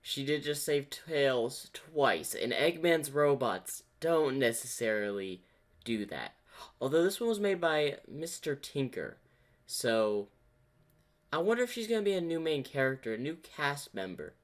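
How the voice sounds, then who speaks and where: A man hosting a reaction video, giving casual commentary and thinking aloud in a halting way. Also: medium pitch, big pitch swings.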